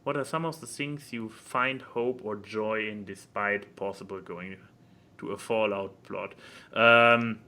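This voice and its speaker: A man's voice: lowish voice, fairly even intonation, a little slow, pushed and bright. A man co-hosting a casual podcast over an online voice call, with a jokey, irreverent manner. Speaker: podcast co-host.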